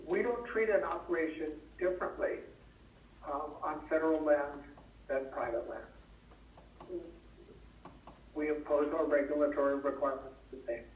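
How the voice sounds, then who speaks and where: A man on a speakerphone in a public meeting, explaining county rules in a measured way. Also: fairly high pitch, far from the mic, a little hiss or hum. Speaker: county administrator on the phone. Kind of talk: public meeting answers by phone. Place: meeting room, heard over a speakerphone. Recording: phone voice on a speaker in an echoey room.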